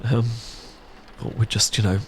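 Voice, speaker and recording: A British man acting a nervous, smitten young man in a romantic roleplay, stammering and halting earnestly as he talks. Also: lowish voice, flat delivery, slight background noise, natural voice. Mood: sheepish. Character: nervous, smitten young man confessing to his best friend.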